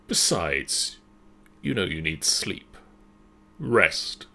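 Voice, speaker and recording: A man voicing a deep, powerful and hungry inner voice that tempts and commands slowly. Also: very animated, gravelly, pushed and bright, full character voice. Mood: tempting.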